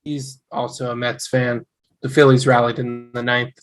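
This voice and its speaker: A man's voice: medium pitch, level tone, normal speed, natural voice. A man in an amateur table read playing a stubborn, dryly humorous young husband. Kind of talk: amateur table read acting over video call.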